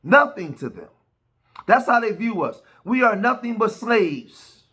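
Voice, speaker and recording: A man's voice: high-pitched, very animated, measured pace, pushed and bright. An American man giving emphatic reaction commentary, often asking "right?" and repeating himself. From United States.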